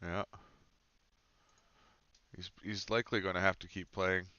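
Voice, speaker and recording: Low-voiced stuffed-up man. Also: a little slow, smooth, dry recording, muffled recording. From Canada. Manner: relaxed musing, sudden excited reactions. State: stuffed up with a cold. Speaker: streamer playing a football management game. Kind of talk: casual gameplay commentary.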